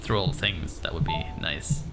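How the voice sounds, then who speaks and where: A young man with a Dutch accent chatting casually while streaming a game, often laughing and filling pauses with "um" and "like". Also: very deep voice. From Netherlands. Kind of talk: casual live-stream chat while gaming. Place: echoey room. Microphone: desk microphone. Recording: room echo with game music behind.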